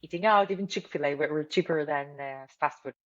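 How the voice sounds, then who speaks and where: A woman's voice: low voice, fairly quick, dull audio. A woman in her forties from Ukraine, raised in Israel, with an Israeli accent. She is a guest on an interview and talks casually with many fillers and restarts. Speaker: startup founder, guest.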